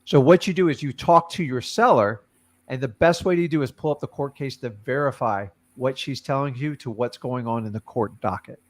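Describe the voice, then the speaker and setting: A man's voice: medium pitch, moderate pace. A man hosting a coaching call, giving blunt and casual advice to students over a video call. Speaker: host and wholesaling coach.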